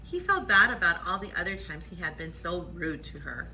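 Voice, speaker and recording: A woman's voice: on the low side, creaky, low-quality recording. A woman reading a children's picture book aloud at storytime, expressively, with asides on the pictures. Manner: expressive, pauses to point out pictures. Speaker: library storytime reader.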